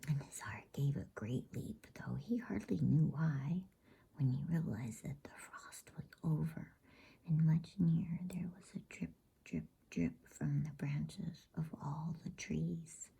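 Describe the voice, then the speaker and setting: A woman reading a novel aloud as a bedtime story in a hushed, unvoiced ASMR whisper. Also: low voice, animated, measured pace, creaky, breathy edge.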